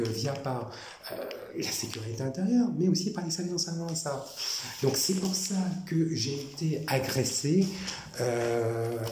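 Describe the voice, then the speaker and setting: A man from France talking in a rambling personal monologue, halting, with many fillers and restarts, repeating himself. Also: medium pitch. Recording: echoey room with faint noise.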